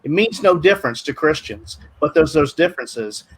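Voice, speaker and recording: An older man calmly explaining a point as a guest in a panel discussion over a video call. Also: fairly high pitch, moderate pace, slightly rough, rough recording. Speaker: guest apologist. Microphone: video call webcam.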